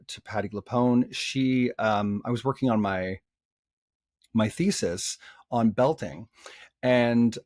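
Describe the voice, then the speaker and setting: Man, podcast guest, vocal coach, mid pitch, conversational, lots of fillers, dry close mic. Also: a little mellow, no echo. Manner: thoughtful, many fillers and restarts.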